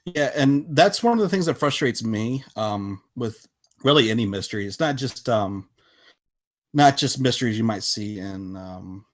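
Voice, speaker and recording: A man speaking casually as a podcast guest, with halting, hesitant phrasing as he explains things. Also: mid-range voice, expressive. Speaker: podcast guest, visual novel developer. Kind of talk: casual interview talk.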